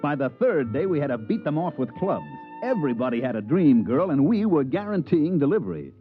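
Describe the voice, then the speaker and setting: A brazen, fast-talking swindler in old radio style, with very lively intonation and slangy, glib delivery. The recording is dull and vintage. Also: male voice, a little high, soft background music. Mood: smug narrating. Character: fast-talking, brazen male swindler narrating his own con. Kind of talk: 1950s radio acting.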